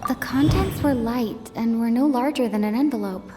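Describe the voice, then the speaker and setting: A woman voicing a young traveler in a video game, deliberately reasoning through clues to herself in an inner monologue. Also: fairly high pitch, lightly acted. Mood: deducing. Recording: long reverb, music behind.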